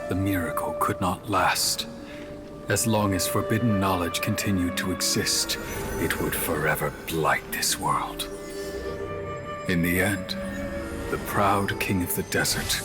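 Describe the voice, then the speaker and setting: A man playing an ancient desert priest, a dying guardian who narrates gravely and reverently in a scripted game scene. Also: sits low. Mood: sorrowful, reverent. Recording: loud music under the voice.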